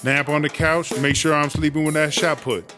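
A man's voice: on the high side, fairly even intonation, moderate pace, over music, lightly acted. A man voicing a brash, boastful female inmate boss in a comedy audio drama, telling rambling, slangy, profane stories. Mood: gossipy, emphatic.

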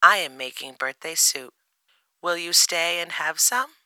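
A storybook reader as an eager, bright little bear cub. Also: medium pitch, a little slow, dry recording, pitched above the performer's own voice. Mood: friendly, excited. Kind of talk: children's storybook character reading.

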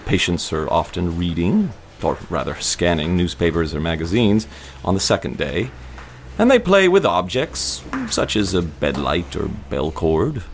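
A man's voice: deep voice, sing-song, slight background noise. A man reading formal, scripted medical narration in a clinical manner. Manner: clinical, formal, measured wording. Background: music.

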